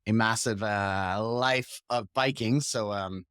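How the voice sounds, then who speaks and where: A man's voice: on the low side, slow pace, fairly bright tone, clear, resonant tone, dry recording, clean studio sound. A man hosting a podcast in a casual, informal and upbeat interview chat, with hesitant phrasing. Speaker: podcast host.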